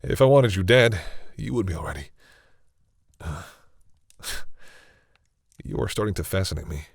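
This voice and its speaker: A man playing a proud vampire captor who is awkwardly softening, speaking hesitantly and condescendingly to the listener in an intimate audio roleplay. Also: deep voice, lively intonation, a little slow, gravelly, no room sound, studio quality, exaggerated voice. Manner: hesitant, sighing, wryly condescending toward humans.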